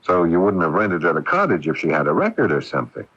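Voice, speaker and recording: Flustered fellow, halting, raspy, radio play, lo-fi broadcast sound. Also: male voice, sits low, huge pitch swings, fairly bright tone, full character voice. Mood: earnest, agitated. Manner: halting, stammering, repeating himself. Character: earnest, flustered, bewildered man confiding in a friend. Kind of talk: 1980s radio drama acting. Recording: dull, lo-fi 1980s radio broadcast.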